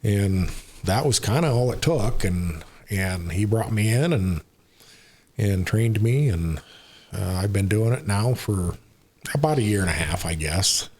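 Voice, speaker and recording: Older American man, low husky voice. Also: expressive, unhurried, darkish tone. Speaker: podcast guest. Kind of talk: casual conversational interview.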